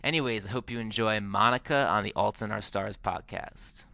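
A man's voice: fairly low pitch, moderate pace, creaky, bright, forceful tone, no echo. An American man hosting a music podcast, talking casually as he introduces and interviews a guest. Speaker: podcast host, music journalist.

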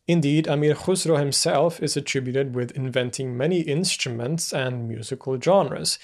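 A man narrating an educational video in a measured lecture style, with occasional fillers and self-corrections. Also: mid-range voice.

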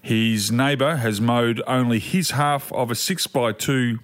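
A man's voice: mid-range voice, fairly even intonation, measured pace. An Australian man co-hosting a podcast in casual, chatty style. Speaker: podcast host. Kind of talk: casual chat.

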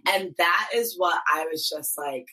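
Young woman guest, low-pitched but bright, animated and chatty; first language Twi. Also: unhurried, breathy edge, low-quality recording. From United States, Columbus, Ohio. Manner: lively, animated storytelling with laughs. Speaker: guest, beauty brand founder. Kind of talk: casual interview chat.

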